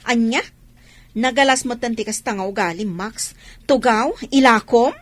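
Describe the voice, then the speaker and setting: A woman's voice: a little high, normal speed, lightly acted. A woman playing a nagging, exasperated wife in a Filipino radio comedy-drama, with a Filipino accent.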